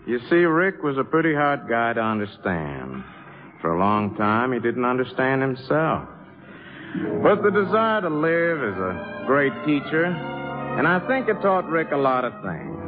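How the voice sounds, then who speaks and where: An actor playing a loyal, worried musician friend in a 1950s radio drama, both narrating and speaking in scenes. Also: male voice, mid-range voice, big pitch swings, unhurried. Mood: somber, reflective. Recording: muffled 1950s radio broadcast, loud music.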